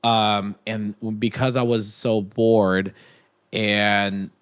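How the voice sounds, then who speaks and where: A man's voice: fairly low pitch, flat delivery, slow pace, smooth. A young man talking as a podcast guest in casual chat, rambling with many restarts and fillers. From United States.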